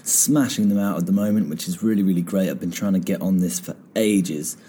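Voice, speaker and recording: A man hosting a guitar lesson podcast, calmly explaining finger positions step by step, his voice a little croaky. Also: sits low, fairly quick, a little hiss or hum. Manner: relaxed, step-by-step instruction. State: slightly croaky after singing. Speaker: guitar teacher and podcast host. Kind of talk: casual spoken guitar lesson. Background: guitar playing between phrases.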